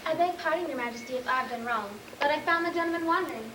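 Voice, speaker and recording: A woman's voice: sits high, quick pace, natural voice. A woman playing an apologetic, deferential royal maid in an amateur stage comedy. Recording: distant, echoey, noisy stage recording.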